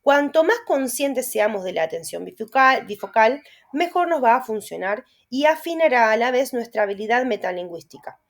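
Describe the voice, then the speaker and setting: A woman's voice: fairly high pitch, big pitch swings, moderate pace. A young Argentine woman with a Rioplatense accent, reading lecture notes aloud and adding asides and tag questions as she explains. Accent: Rioplatense Spanish. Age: young adult. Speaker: student teacher.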